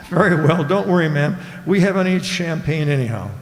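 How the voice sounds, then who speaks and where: A man's voice: on the high side, lively intonation, a little fast. A man playing a breezy, deferential spaceman broadcaster in a radio comedy, polite and a bit hesitant. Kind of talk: satirical radio comedy acting. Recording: hall-like reverberant stage sound.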